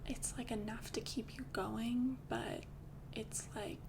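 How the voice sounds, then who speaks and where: A young woman voicing a calm, doting female vampire girlfriend in an ASMR roleplay, speaking in soft, intimate whispers close to the mic. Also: fairly high pitch, slow pace, gravelly, background noise. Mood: soothing. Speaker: ASMR creator.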